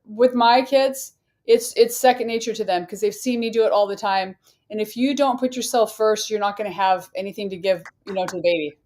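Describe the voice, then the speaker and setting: A woman's voice: mid-range voice, fairly even intonation, fairly quick, darkish tone. A woman in her sixties from Arizona, a fitness trainer guest answering interview questions in an upbeat way and laughing easily. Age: 60s.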